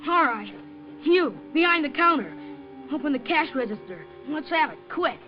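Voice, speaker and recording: A man's voice: very high-pitched, very animated, normal speed. A man playing a nervous skinny teenage robber in a 1950s radio drama, curtly barking orders. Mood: nervous, threatening. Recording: dull old radio recording, music behind.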